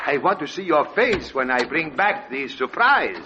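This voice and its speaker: An actor playing a suave, courtly puppeteer with a coaxing, theatrical manner in an old radio drama. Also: male voice, fairly high pitch, sing-song, moderate pace. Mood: smooth, secretive. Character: suave, courtly puppeteer showman hiding something sinister. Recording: noisy, muffled vintage radio recording.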